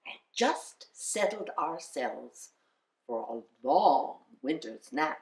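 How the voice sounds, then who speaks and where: A woman reading a children's picture-book poem aloud as a storyteller, theatrical and deliberate, with dramatic pauses. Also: low voice, very animated, a little mellow. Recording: roomy, echoing voice recording.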